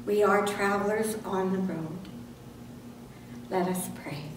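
An older woman reads liturgy aloud at a slow, solemn pace. She sounds distant from the microphone in a very reverberant church, with noticeable noise. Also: mid-range voice, steady tone. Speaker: lay reader in church service. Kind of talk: scripted liturgy and scripture reading. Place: medium-sized church sanctuary, indoors. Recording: very echoey church, distant mic, noisy.

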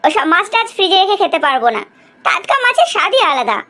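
A woman's voice: very high-pitched, big pitch swings, fast talker, sharp tone, full character voice. A woman voicing a bossy but affectionate middle-aged housewife and mother in a cartoon family drama, with an emphatic, scolding manner. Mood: nagging.

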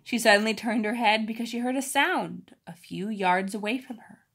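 A woman's voice: fairly high pitch, moderate pace, a bit bright, husky. Woman reading a children's story aloud. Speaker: storybook reader.

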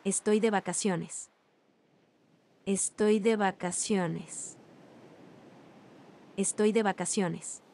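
A woman reading Spanish and English phrases slowly, one at a time, for language learners. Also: medium pitch, fairly even intonation, warm tone, slight background noise. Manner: reads each phrase slowly with long pauses. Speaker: language teacher. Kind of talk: slow repeated phrase drills.

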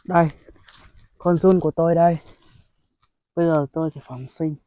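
A man's voice: on the high side, steady tone, normal speed, no room sound, highs cut off. Chatty young guy, phone vlog. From Vietnam. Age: young adult. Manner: chatty, addresses viewers as brothers, frequent exclamations. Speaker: vlogger. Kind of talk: casual outdoor vlog. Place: outdoors among rice paddies and hills. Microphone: phone held at arm's length.

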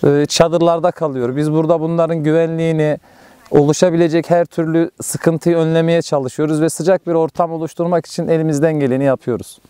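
A man's voice: a little high, steady tone, brisk, dark tone, clean tone, no room sound. A man talking casually to camera as a helper in a camping group. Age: adult. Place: outdoors at a campsite.

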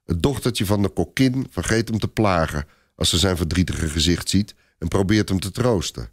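A man's voice: low voice, normal speed, dry recording, studio quality. A man narrating a scripted story as a storyteller.

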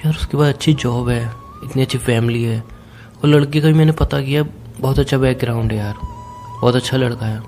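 A man's voice: medium pitch, steady tone, brisk, a little mellow. A young man speaks on a recorded, emotional phone call. He sounds insistent and pleading, in a private conversation. Music plays faintly behind his voice. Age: young adult.

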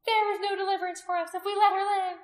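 Woman as fanatical storybook priestess, very high piercing voice, commanding, accusing, cruel, roomy echo. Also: normal speed, pushed and bright, exaggerated voice. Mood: fanatical triumph. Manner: shrill, accusing, imperious.

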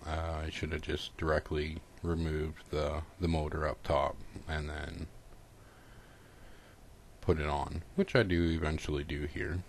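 A man's voice: rumbling low, flat delivery, measured pace, slight background noise. A man casually narrating a step-by-step repair tutorial in an informal, folksy, unscripted way.

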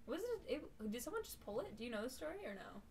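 A woman's voice: a little high, very animated, very fast, a little mellow, a little hiss or hum. A young woman hosting a podcast, telling stories in a casual, chatty way. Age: young adult. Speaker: podcast host. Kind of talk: casual chat, storytelling.

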